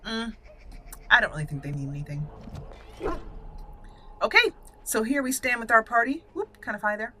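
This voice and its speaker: A woman's voice: on the high side, moderate pace, long pauses, pushed and bright, a little hiss or hum. A woman hosting a casual game walkthrough, thinking aloud in short fragments as she plays. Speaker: gaming channel host.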